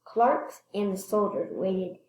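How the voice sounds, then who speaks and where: A woman reading a children's picture book aloud expressively, stressing words and pausing to point things out. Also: mid-range voice, darkish tone, roomy sound, a little hiss or hum, rough recording. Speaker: read-aloud storyteller.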